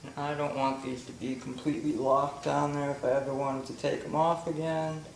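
A man with a gravelly, mid-pitched voice talks unhurriedly through a hands-on motorcycle job, stopping for fillers as he works. He is recorded off-camera in a garage that echoes noticeably, with a faint hum of ambience behind him. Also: little pitch movement. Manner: slow, halting, filler-heavy explaining while working. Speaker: motorcycle vlogger. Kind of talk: casual how-to narration.

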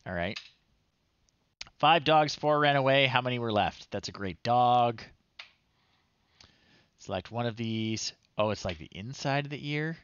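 A man's voice: medium pitch, lively intonation, a little slow, long pauses, fairly bright tone, clean tone, no room sound. A man in his thirties casually commentating a game on a live stream, reading screen text aloud with amused, playful asides. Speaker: streamer, host of a retro game show. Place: small indoor room at home. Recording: slightly dull, dry close-up recording.

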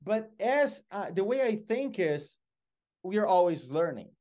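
A middle-aged Brazilian man with Portuguese as his first language and a fairly high voice, telling lively stories as an interview guest. He is animated and expressive, speaking over a video call from a home office. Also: measured pace. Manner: expressive, gestures a lot, lively storyteller.

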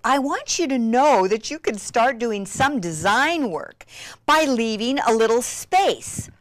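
Excitable woman demonstrating crafts, swooping pitch. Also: takes it slowly, dry recording. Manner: gushing, easily excited, breathy exclamations. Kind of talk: hands-on craft tutorial with excited asides.

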